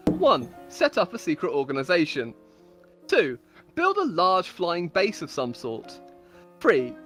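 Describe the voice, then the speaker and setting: A woman giving a playful, mock-solemn dramatic reading of her own comic stories, like a storyteller. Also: lowish voice. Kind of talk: comedic dramatic reading of scripted tales.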